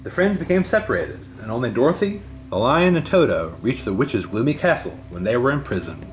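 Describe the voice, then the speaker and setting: A man reading a children's story aloud as scripted narration, in an expressive way. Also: medium pitch, fairly quick, rough recording. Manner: expressive read-aloud delivery. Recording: music behind the voice.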